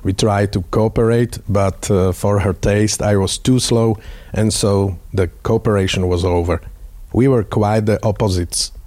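A man speaking English with a Slovak accent, a native Slovak speaker and radio journalist, giving a prepared tribute in plain, measured short sentences. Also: fairly low pitch, steady tone, warm tone, no echo.

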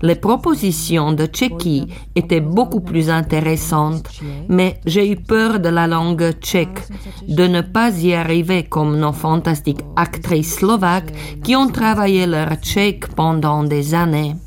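Low-voiced woman doing voice-over translation. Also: unhurried, faint music behind. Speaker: voice-over interpreter.

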